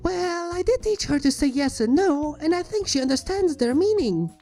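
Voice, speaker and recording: Woman voicing a very high, bubbly cartoon pony girl. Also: big pitch swings, normal speed, warm tone. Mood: playful, breezy. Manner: playful, breezy, reassuring. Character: bubbly, cheerful, silly young female cartoon pony. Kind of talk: fan fiction character reading. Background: background music.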